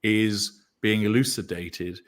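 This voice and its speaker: A man with a deep baritone voice, talking as a guest in a measured, deliberate way with dry dark humor. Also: steady tone. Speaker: guest commentator. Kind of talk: casual chat.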